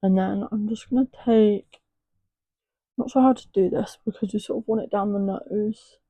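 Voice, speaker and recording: Young woman doing a makeup tutorial, chatty, kinda husky hoarse voice, dry close sound. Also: steady tone, moderate pace, no room sound. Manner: chatty, rambling, soft and low at times. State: voice going hoarse. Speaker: makeup artist. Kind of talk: casual makeup tutorial chat.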